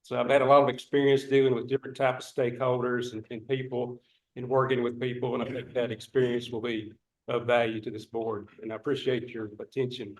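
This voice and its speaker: A man's voice: mid-range voice, level tone, brisk, echoey room, rough recording. A man with a Northeast Texas accent introducing himself as a board candidate at a public meeting, plainspoken with dry humor. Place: community meeting room.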